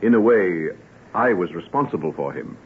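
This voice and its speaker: An actor playing a reserved, uneasy gentleman who speaks haltingly, burdened with guilt, in an old radio drama. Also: deep voice, lively intonation, husky, heavily acted. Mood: uneasy, guarded. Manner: hesitant, halting. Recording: tinny, hissy old radio drama recording.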